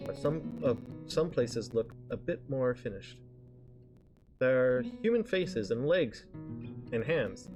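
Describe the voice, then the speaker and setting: A man reading visual novel narration aloud on a stream, haltingly, often stumbling over words and correcting himself. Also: mid-range voice, big pitch swings. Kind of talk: live read-aloud of narration. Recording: guitar music behind the voice. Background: game background music with guitar.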